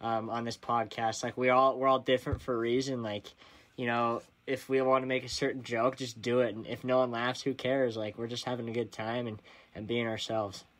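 A young man chatting casually on a podcast, easygoing and quick to laugh, with hesitant restarts. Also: medium pitch, little pitch movement, fairly bright tone. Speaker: podcast co-host, guest. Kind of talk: casual chat.

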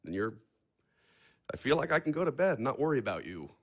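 A man's voice: medium pitch, very animated, quick pace. An actor playing a blunt, cynical young man who lectures in a brusque, sardonic way in a naturalistic audio play. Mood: exasperated, blunt. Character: blunt, cynical, caustic young man, pragmatic family fixer. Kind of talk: naturalistic audio theater acting.

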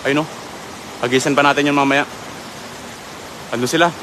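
A man's voice: fairly high pitch, fairly even intonation, moderate pace, noisy background. Chatty young man hosting a riverside livestream. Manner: chatty, greets viewers by name, polite po. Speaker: livestream host. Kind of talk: live fishing stream commentary. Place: outdoors by a river. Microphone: handheld phone.

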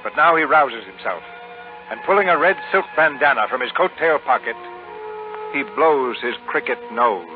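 A man's voice: mid-range voice, animated, moderate pace, faint music behind. Male narrator on tinny old radio. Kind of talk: 1930s radio storytelling narration. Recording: tinny 1930s radio broadcast.

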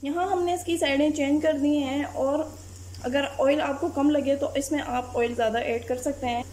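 A woman, narrating a home cooking recipe step by step in a calm voice-over as channel host. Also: high-pitched, moderate pace, slight background noise.

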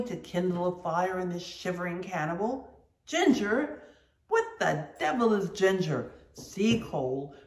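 A reader voicing a blustering ship's mate, loud mocking outbursts, lively swings, roomy echo. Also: mid-range voice, lightly acted. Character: blustering, boisterous whaling mate, male. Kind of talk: audiobook character reading.

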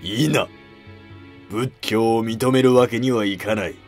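A man's voice: mid-range voice, unhurried, a little mellow, faint music behind, natural voice. A man voicing a haughty, defiant warlord of ancient Japan in a historical drama, with blunt, commanding archaic speech. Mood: stern command.

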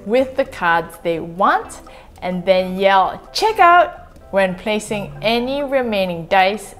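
A woman in her forties from Singapore, hosting a family board game channel with clear, measured, upbeat scripted narration recorded in a living room. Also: mid-range voice, big pitch swings, fairly bright tone, soft background music. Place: home living room, indoors.

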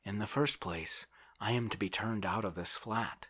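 A man's voice: lowish voice, moderate pace, fairly bright tone, slightly rough, pitched above the performer's own voice. A man voicing a fretful, indolent, helpless landowner in an audiobook, plaintive and dithering as he protests. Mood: fretful, alarmed. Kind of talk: audiobook character voice.

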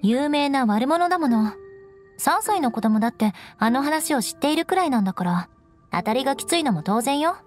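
A young noblewoman knight from an anime game with a high, expressively inflected voice. She is proud and stoic, speaking with measured formality and haughty composure over background music. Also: lively intonation. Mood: calm, resigned. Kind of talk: Japanese anime game voice acting.

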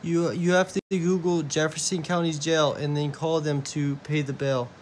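A man's voice: on the high side, little pitch movement, normal speed. A man in his twenties with an American accent talking on a phone call in a bedroom, recorded on a phone. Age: 20s. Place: bedroom at home. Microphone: phone at arm's length. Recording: noisy phone video.

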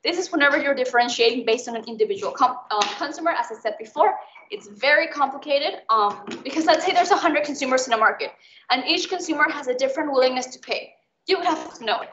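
A young woman from Mexico tutors students in a chatty, informal way, talking very fast in a fairly high voice. The recording is muffled and roomy, like an online call. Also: expressive, a bit bright, slight background noise. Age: young adult. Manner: chatty, explains with everyday examples. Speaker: student tutor leading an exam recap. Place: indoors, online video call.

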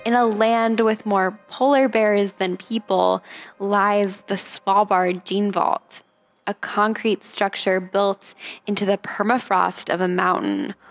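A young woman narrates a documentary in a calm, measured voice. She takes her time over each phrase, and a gravelly fry runs through her speech. Quiet music plays beneath her. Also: mid-range voice. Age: young adult.

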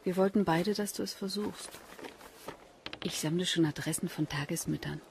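A woman's voice: on the low side, moderate pace, gravelly, noisy background. An actress playing a playful, eager expectant mother in natural radio drama dialogue. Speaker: actress in a crime radio play.

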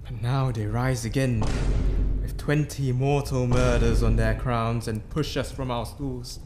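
A man's voice: medium pitch, steady tone, measured pace, over music, lightly acted. A man playing a guilt-haunted, boastful and terrified king in a theatrical reading of a Shakespearean tragedy. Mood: haunted, defensive. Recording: noisy amateur recording.

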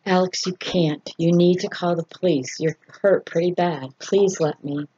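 A woman's voice: fairly low pitch, fairly even intonation, a little slow, dark tone, slightly rough. A woman reading the part of a caring, protective man in a story, reassuring and firm, on a muffled recording. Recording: dull lo-fi sound with faint noise.

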